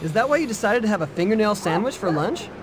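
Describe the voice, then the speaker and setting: A man's voice: high-pitched, animated, fast talker, noisy background. A man playing an upbeat, friendly young male skateboarder buddy in a video game cutscene, easygoing and teasing.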